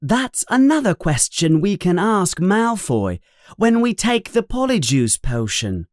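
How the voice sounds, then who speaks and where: A woman voicing a clever young schoolgirl who talks in a brisk, matter-of-fact way. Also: fairly low pitch, very animated, darkish tone, dry recording, studio quality, pitched above the performer's own voice.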